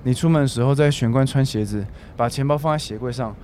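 A man's voice: mid-range voice, fast, background noise, natural voice. A man playing an easygoing, playful young housemate. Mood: friendly, breezy. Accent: Taiwanese Mandarin. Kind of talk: radio drama acting.

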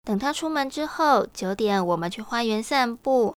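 A woman acting a friendly, brisk young sister-in-law who serves as caregiver, in an audio drama. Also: on the high side, expressive, smooth, dry recording, close to an everyday voice. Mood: cheerful, breezy.

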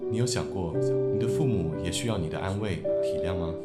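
A man playing a calm otherworldly guide of the dead, asking patient, probing questions. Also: low-pitched, fairly quick, close to an everyday voice. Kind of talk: radio drama acting. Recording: echoey room with soft music.

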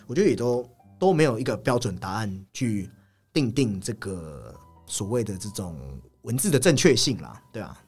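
A man co-hosting a podcast, casually discussing film in an analytical way and often repeating his agreement in quick strings. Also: mid-range voice, slightly rough. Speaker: podcast co-host. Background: background music.

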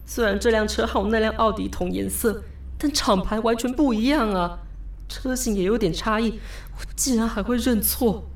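A woman's voice: fairly high pitch, moderate pace, lightly acted. A woman with a Taiwanese Mandarin accent playing a flustered, self-mocking young woman in an audio drama, hesitant and polite aloud, blunt when muttering to herself. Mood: self-mocking exasperation. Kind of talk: audio drama acting with spoken inner monologue.

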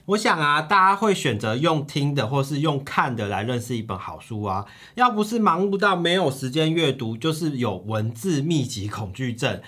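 A man co-hosting a book podcast, narrating from a script like a storyteller with drawn-out phrase endings. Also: mid-range voice, sing-song, bright, forceful tone, breathy edge.

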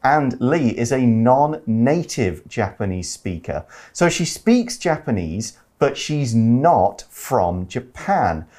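A man's voice: medium pitch, sing-song, slow pace, hardly any pauses, a little mellow. A man co-hosting an English-learning podcast, chatting casually as he explains words. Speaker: podcast co-host teaching English. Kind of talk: explaining vocabulary in casual chat.

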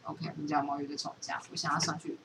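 A woman's voice: sits low, flat delivery, fast talker, a loud crowd. A middle-aged woman with a Taiwanese Mandarin accent chatting casually and candidly as a podcast co-host. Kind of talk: casual chat. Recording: noisy, distant from the microphone.

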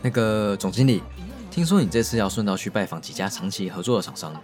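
A man's voice: fairly low pitch, fast, a little mellow, faint music behind, natural voice. A man voicing an eager, scheming male office coworker with an ingratiating manner. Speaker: voice actor in an audio drama.

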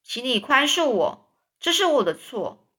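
A woman's voice: high-pitched, big pitch swings, a little slow, fairly bright tone, full character voice. A woman doing a pleading mischievous boy. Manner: pleading, earnest. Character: cheeky young boy caught in a lie.

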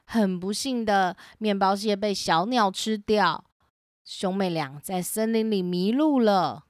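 A woman's voice: medium pitch, slow pace, dry recording. A woman host narrating a scripted children's story.

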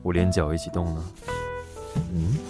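A sly pastry chef dad teases in a calm, very deep murmur, as a man acts him. Music plays clearly under this audio drama. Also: normal speed, natural voice. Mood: teasing. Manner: calm, teasing, low murmur.

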